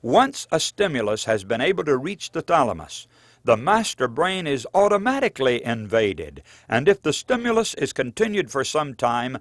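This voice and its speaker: Man reading his book like a sermon, earnest, pitch swings around a lot, dead dry mic. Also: dry recording. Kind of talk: scripted audiobook narration.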